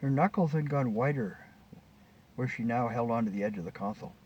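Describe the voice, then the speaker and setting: Guy reading his own short story out loud, mellow, kinda muffled w a bit of noise. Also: medium pitch, brisk. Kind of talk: scripted story narration. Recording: distant mic, dull, faint background noise.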